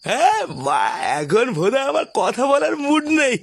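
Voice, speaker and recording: A man's voice: very high voice, very animated, normal speed, gravelly, no room sound, exaggerated voice. A man with a West Bengal Bengali accent voicing a self-pitying, foul-mouthed drunken husband in a comedy cartoon, rambling as he talks. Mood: drunken evasive.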